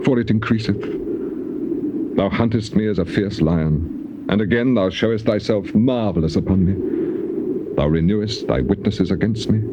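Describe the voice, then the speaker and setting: An actor playing an anguished, bitterly sarcastic righteous man in a dramatized scripture reading, declaiming in solemn archaic phrases. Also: fairly low pitch. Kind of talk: dramatized scripture reading, classical stage acting. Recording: muffled old cassette tape with clear noise.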